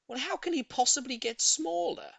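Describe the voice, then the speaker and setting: Woman acting an excitable, shrill older lady neighbour. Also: moderate pace, vocal fry, dry recording. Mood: worried. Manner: exclamatory, gushing.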